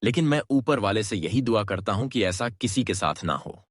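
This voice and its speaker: Man acting a low-voiced, pained younger brother. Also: lively intonation, moderate pace, no echo, clean studio sound. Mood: pained, solemn. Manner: pained, solemn. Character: grieving younger brother exposing his brothers' crime. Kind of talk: cartoon story voice acting.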